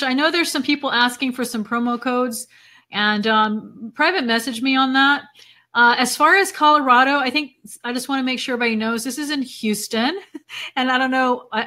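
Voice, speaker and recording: A woman's voice: sits high, steady tone, a little fast, bright, forceful tone. Chatty woman host losing her voice. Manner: chatty, laughs often, many fillers. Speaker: event host interviewing a speaker. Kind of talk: casual live video chat.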